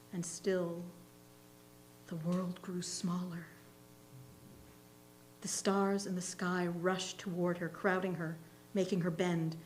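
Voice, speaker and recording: A woman reading literary fiction aloud as a reader's theatre performer, in a measured, expressive storytelling manner. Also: on the low side, rough recording. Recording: faint hum and background noise.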